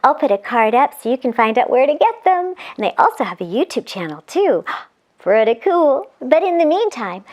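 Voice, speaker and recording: A woman doing an animated read-aloud for children, exclaiming, chuckling and talking directly to her young listeners. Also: sits high, moderate pace. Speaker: children's storybook reader and channel host.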